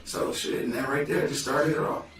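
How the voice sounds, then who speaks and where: A man with a Detroit accent, a guest rapper telling stories in slangy, animated casual podcast talk. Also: mid-range voice, fairly quick, roomy sound, recorded from a distance. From Detroit, USA.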